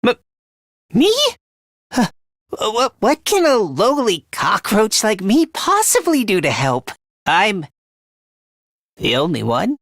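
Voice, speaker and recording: A man's voice: high-pitched, wildly swooping pitch, slow, long pauses, a bit bright, dry recording, studio quality, heavily acted. A man voicing a timid, self-loathing young male academy fighter in a video game, hesitant and prone to stammering. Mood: timid, self-deprecating.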